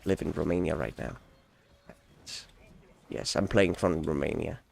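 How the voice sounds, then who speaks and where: A man's voice: a bass voice, measured pace, gravelly, darkish tone, slight background noise. Austrian-born man streaming a game. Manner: rambling, frequent restarts and self-corrections; enthusiastic, laughs often. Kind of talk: casual live-stream gameplay chat.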